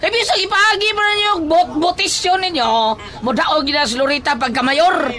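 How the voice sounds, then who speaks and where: A performer voicing a loud, bossy, excitable student in an audio drama, with a Filipino accent and exclaiming, teasing, drawn-out delivery. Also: sits high. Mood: insistent. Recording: background music and crowd noise.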